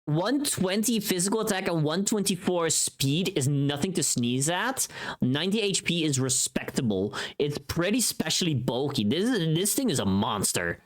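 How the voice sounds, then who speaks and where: A livestream host chatting casually with viewers in an animated, informal, sweary way. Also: mid-range voice, moderate pace.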